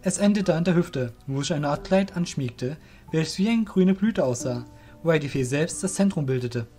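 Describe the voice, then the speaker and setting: Male storyteller for fantasy audio drama, lightly high register, lively melody, soft music bed. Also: moderate pace. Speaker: narrator.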